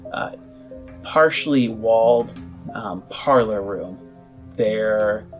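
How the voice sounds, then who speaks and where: Male game master, low voice, very unhurried, halting descriptive narration, dramatic pauses, light background music. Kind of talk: improvised narration of a tabletop roleplaying game.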